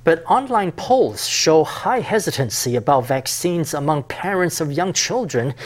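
A man reading news as an anchor in a crisp, formal way. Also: a little high. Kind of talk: news report narration.

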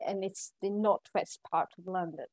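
A woman from Kenya being interviewed, answering in a friendly, halting way and giggling often. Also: fairly low pitch, a little mellow, dry recording. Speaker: podcast guest. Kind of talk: casual interview chat.

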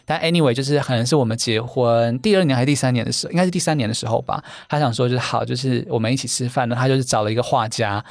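A man's voice: medium pitch, dry recording. A man, a podcast guest telling stories about the dating events he runs, chatty and full of filler words. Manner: brisk, chatty, many fillers and repeated "对". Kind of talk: podcast interview, storytelling.

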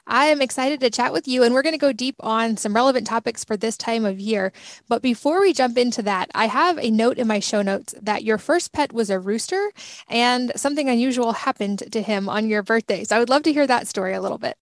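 Female podcast host, upbeat, a bit high, with some vocal fry, dry close-mic sound. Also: a little fast, dry recording. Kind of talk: podcast intro and interview.

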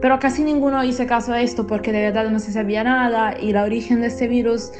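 A woman's voice: a little high, fairly even intonation, fast talker. Young Italian woman speaking over music. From Italy. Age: young adult. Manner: non-native Spanish phrasing. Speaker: interviewee telling personal experience. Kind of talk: personal account, narrated monologue.

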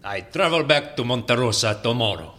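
An actor playing an older, hot-tempered president and dictator in an audio drama, pompous and imperious, with a Latin American Spanish accent. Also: male voice, mid-range voice, expressive, brisk, sharp tone. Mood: defiant.

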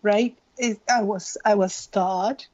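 A woman's voice: medium pitch, fairly even intonation, slow pace, darkish tone, lo-fi sound. A woman from Peru, an illustrator speaking earnestly in an interview and often restarting her phrases. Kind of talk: casual interview.

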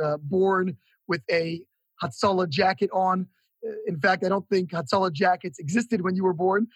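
Male interviewer, high voice, husky edge, polished effusive phrasing, podcast host delivery. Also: rough recording. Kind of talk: scripted show introduction and interview questions.

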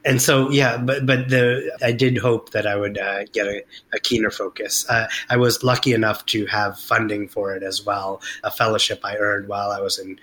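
Bright-voiced man, hesitant interview guest. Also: fairly low pitch, level tone, moderate pace, few pauses. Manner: hesitant, many fillers and restarts. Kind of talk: reflective interview talk.

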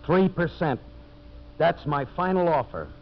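Brash lumber boss, swinging pitch. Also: male voice, lively intonation, measured pace. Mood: pushy, impatient. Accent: American. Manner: pushy, wheedling, blunt salesman's talk. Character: brash, greedy, hard-bargaining male lumber boss. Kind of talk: 1950s American radio drama acting. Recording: muffled, noisy 1950s radio recording.

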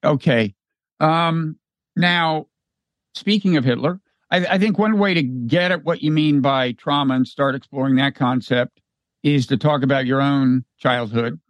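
A man's voice: mid-range voice, measured pace, no echo, poor audio. A man hosting an interview, asking probing, somewhat hesitant questions. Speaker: podcast host.

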